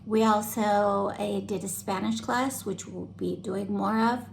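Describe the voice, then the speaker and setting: A young American woman walks viewers through a home recipe in her kitchen, chatty and easygoing, tagging her sentences with "okay?". Her voice carries a gravelly fry, and faint room noise sits behind it. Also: medium pitch, fairly even intonation, unhurried. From United States. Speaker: club activity host. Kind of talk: home cooking demonstration.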